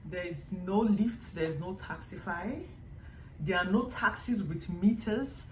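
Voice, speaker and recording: A young woman from Nigeria with a Nigerian accent, chatting casually to her vlog audience and acting out little quotes as she tells stories. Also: fairly low pitch. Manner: chatty, animated storytelling with acted-out quotes. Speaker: vlogger. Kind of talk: casual vlog monologue to camera. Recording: echoey room with faint background noise.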